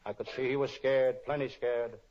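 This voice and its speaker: A man's voice: medium pitch, fairly even intonation, normal speed, a little mellow, close to an everyday voice. Man as a wisecracking old-radio private detective. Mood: gentle, serious. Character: wisecracking, kind-hearted male private detective. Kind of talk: old-time radio detective spoof, narration and dialogue. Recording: dull lo-fi old radio recording.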